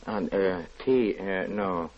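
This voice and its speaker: An actor playing a nervous, secretive lodger in a 1940s radio drama, speaking in a halting, formally polite way with quiet menace. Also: male voice, low voice. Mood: timid, polite. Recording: muffled, noisy 1940s radio recording.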